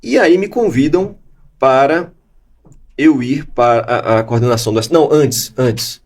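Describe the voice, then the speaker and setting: Husky Brazilian man with mixed Bahian and Gaúcho accent. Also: medium pitch, measured pace, a little mellow. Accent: mixed Bahian and Gaúcho Brazilian Portuguese. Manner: reflective storytelling with frequent restarts. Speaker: interview guest, law professor. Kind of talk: conversational interview.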